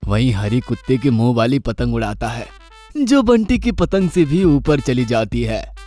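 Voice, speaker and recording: A man narrates a scripted children's story in a mid-range voice. His intonation moves up and down in a lively way, with music clearly behind him. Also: mid-range voice, a little slow.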